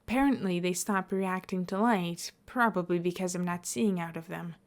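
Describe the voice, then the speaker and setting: A woman's voice: lowish voice, normal speed, natural voice. Woman as a guarded, reluctant ordinary guy. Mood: thoughtful. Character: nervous, reluctant, guarded ordinary man with new powers.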